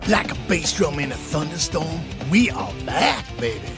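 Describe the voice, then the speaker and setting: A man's voice: fairly high pitch, sing-song, moderate pace. A man hosting a rock radio show with theatrical, bombastic hype and punchy alliterative patter. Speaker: radio host. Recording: loud guitar music under the voice. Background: loud guitar music.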